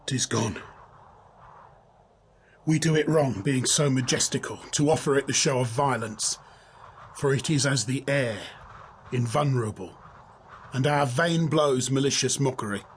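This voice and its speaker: An actor playing an earnest, devout soldier on night watch, speaking formal verse in a dramatised Shakespearean audiobook. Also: male voice, mid-range voice, unhurried, pauses often, a little hiss or hum, close to an everyday voice. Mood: rueful.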